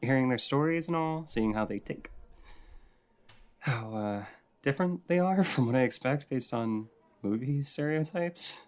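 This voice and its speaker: A man's voice: medium pitch, lively intonation, unhurried, lightly acted. A man playing a shy, adorkable young stranger in an intimate roleplay audio, talking in a hesitant, rambling, self-conscious way.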